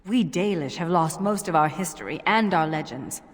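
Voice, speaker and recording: A proud young elf woman from a fantasy game, voiced by an actress. Her voice sits low, earnest and wistful, with measured phrasing. A long reverb trails after it. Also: animated, lightly acted. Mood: wistful, indignant. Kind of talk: video game voice acting.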